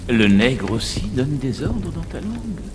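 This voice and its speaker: An actor playing a menacing, cultured Nazi officer in a war game, speaking with theatrical mock politeness and cruel sneering. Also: male voice, mid-range voice, big pitch swings, noisy background. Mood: cold mockery. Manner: slow, theatrical, mockingly polite. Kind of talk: dubbed video game cinematic acting.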